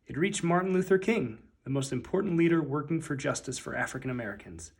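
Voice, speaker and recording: Higher-pitched man reading a story aloud. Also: expressive, quick pace, creaky, breathy edge. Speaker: read-aloud narrator. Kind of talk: children's picture book read aloud.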